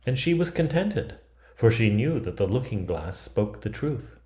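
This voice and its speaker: A man in his fifties reading a storybook aloud in a measured, expressive, dramatic way. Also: medium pitch. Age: 50s. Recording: roomy, echoing sound.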